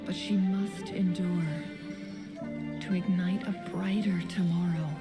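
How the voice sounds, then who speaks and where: A woman playing a serene, wise and wistful young woman in a video game, with soft, breathy, measured poetic delivery. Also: lowish voice, little pitch movement, over loud music. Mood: solemn, poetic. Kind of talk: video game story dialogue and narration.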